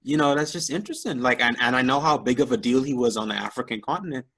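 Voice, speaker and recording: Relaxed man co-hosting a podcast. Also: medium pitch, fast. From Bahamas. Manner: relaxed, thoughtful, many fillers like "you know". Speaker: podcast co-host. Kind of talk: casual podcast chat. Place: New York area, remote call.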